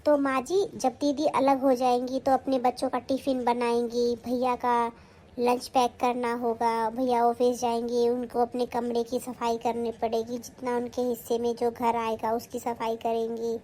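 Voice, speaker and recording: A woman's voice: on the high side, completely flat delivery, moderate pace, warm tone, close to an everyday voice. A woman acting a sly, newly married young daughter-in-law who coaxes and pleads in a family skit. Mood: plotting. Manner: coaxing, plotting, pleading.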